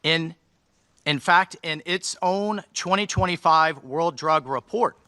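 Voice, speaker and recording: A man delivering a formal prepared speech at a measured pace, reading from a text. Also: on the high side, pushed and bright, no echo, clean studio sound. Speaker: official giving a speech.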